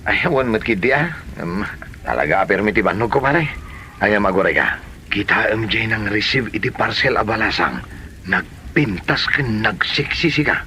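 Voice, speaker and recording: A man playing a lovestruck, easygoing young delivery man in a comedy drama, chatty and expressive, with an Ilocano accent. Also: on the low side, moderate pace, slight background noise. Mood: excited, chatty.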